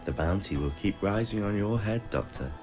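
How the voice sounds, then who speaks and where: A man's voice: on the low side, lively intonation, normal speed, a little mellow, soft background music, voice pushed up, close to an everyday voice. A man voicing a mysterious, menacing woman in a fan audio drama, cryptic and threatening in manner. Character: mysterious, menacing woman from a time-travelling race.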